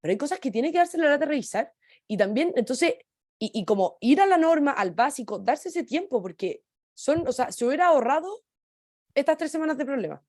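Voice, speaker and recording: Fast-talking young woman. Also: high-pitched, lively intonation, darkish tone, dry recording, clean studio sound. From Chile. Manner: fast, hesitant, searching for words. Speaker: panelist, law student. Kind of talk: radio panel discussion.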